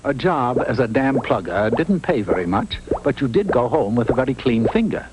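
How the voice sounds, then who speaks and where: Narrator, played by a man: animated, mock-earnest fairy tale storyteller on noisy vintage cartoon audio. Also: mid-range voice, moderate pace, lightly acted. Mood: wry. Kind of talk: scripted comic cartoon narration.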